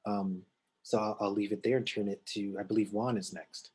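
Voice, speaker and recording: Middle-aged New man, panelist on a video call, low husky even voice, halting. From United States. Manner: halting, many restarts and fillers.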